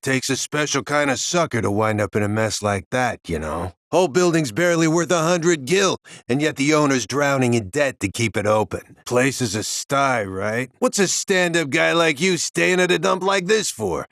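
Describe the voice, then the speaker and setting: An actor playing a shady, streetwise tout in a video game, slangy and casually scornful. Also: male voice, medium pitch, moderate pace, few pauses, fairly bright tone, no room sound, natural voice. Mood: scornful, coaxing. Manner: slangy, insinuating. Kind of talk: scripted video game dialogue.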